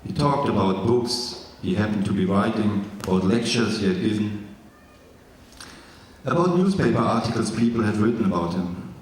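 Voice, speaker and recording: A German-accented man reads his memoir aloud before a live audience in a large hall. His voice sits fairly low and comes from some distance off the microphone, carried by a long, booming echo. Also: expressive, slight background noise. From East Germany. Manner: careful, slightly halting reading with jokey asides. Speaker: author reading his memoir. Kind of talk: live literary reading. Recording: echoey hall from far off.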